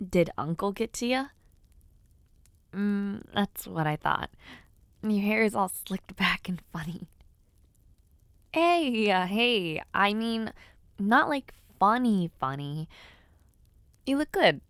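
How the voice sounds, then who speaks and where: A woman playing a giddy, bashful young noblewoman in an intimate romance audio roleplay, affectionate and teasing, with soft hesitations. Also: mid-range voice, very animated, slow pace, long pauses, clear, resonant tone, dry recording, studio quality, heavily acted. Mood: playful teasing. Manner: soft hesitations, gentle teasing, shy giggles. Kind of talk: intimate scripted audio roleplay, speaking to the listener.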